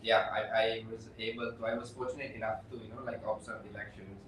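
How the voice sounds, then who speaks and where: A man from Sri Lanka working as an election observer, talking casually on a panel with many pauses and fillers. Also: on the low side, flat delivery, a bit bright, a little hiss or hum. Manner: halting, with many fillers and restarts. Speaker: election observer, program officer. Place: indoor room, shared table with other guests. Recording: echoey room, distant mic.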